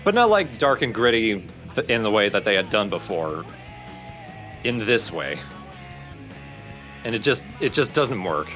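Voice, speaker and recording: A man's voice: on the low side, big pitch swings, measured pace, long pauses, pushed and bright, soft background music. A man co-hosting a let's play, giving opinions in casual conversational game commentary. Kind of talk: casual gaming commentary chat.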